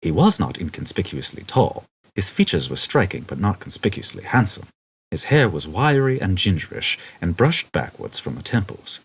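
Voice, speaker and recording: Husky low-voiced male audiobook narrator. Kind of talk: expressive scripted narration of a comic novel.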